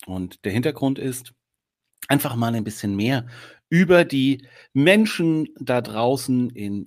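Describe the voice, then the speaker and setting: A man hosting a podcast in casual chat, talking loosely with many filler sounds. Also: mid-range voice. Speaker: podcast host.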